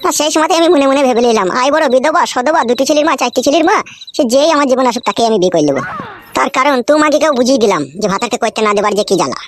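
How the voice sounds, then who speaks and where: A man acts out a hot-headed village husband for a comic cartoon. The voice is strained and pitched high, tumbling out very fast in crude scolding and whiny complaint. Clear noise and clicks sit on the track. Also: lively intonation. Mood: exasperated. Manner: foul-mouthed scolding and wailing.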